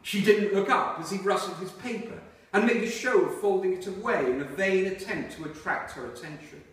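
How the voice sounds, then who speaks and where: A man reading aloud from a novel to listeners in a measured storytelling way. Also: high-pitched. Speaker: reader at a book reading. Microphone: distant microphone. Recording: very echoey hall, far from microphone.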